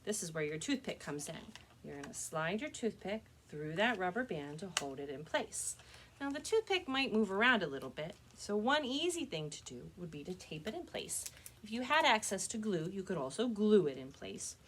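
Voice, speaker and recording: A woman's voice: medium pitch, sing-song, moderate pace, fairly bright tone, slight background noise. A woman presenting a step-by-step craft demonstration for children as a librarian, speaking to her young viewers in a friendly, direct way.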